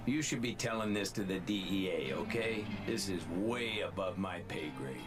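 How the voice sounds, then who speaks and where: A world-weary middle-aged cop in a crime game, acted by a man, speaks in a deep, guarded voice. He is curt and dismissive, and loud music plays over him. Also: normal speed, natural voice. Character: gruff, guarded middle-aged male police detective.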